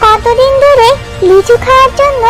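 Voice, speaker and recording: Woman as an earnest, very high-voiced mother fox. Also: fairly even intonation, moderate pace, a bit bright, soft background music. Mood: earnest, worried. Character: caring, poor mother fox, easily worried.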